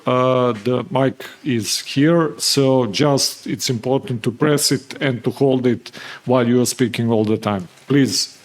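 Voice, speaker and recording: A male moderator, giving loose, rambling instructions to the audience. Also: mid-range voice.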